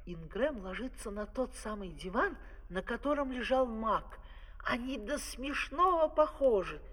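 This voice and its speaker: High-pitched, unhurried male narrator. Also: animated, fairly bright tone. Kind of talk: scripted storytelling narration of stage action. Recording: reverberant vintage vinyl record.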